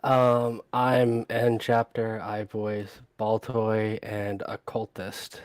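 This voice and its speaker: A man's voice: on the low side, completely flat delivery, very slow, no echo. A man speaking casually as a voice-actor guest on a group livestream. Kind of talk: casual self-introduction.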